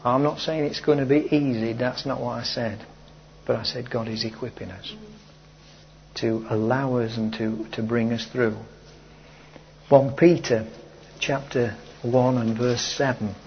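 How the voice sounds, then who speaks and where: A man's voice: mid-range voice, fairly even intonation. A man preaches to his congregation, voice fraying as he loses it. He takes his time and hammers lines home by repeating them. The tape is dull and lo-fi, with a faint hiss. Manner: slow, emphatic, repeats key phrases. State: losing his voice. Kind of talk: sermon. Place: church hall with a congregation.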